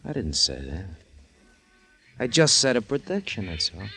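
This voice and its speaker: A man's voice: lowish voice, big pitch swings, normal speed, bright, forceful tone, slightly rough, dry recording, faint music behind, full character voice. An actor playing a cocky, sardonic gangster henchman in 1950s radio crime drama, slangy and teasing with mock-wounded wisecracks. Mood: cocky, dismissive. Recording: noisy old radio recording, honking ducks.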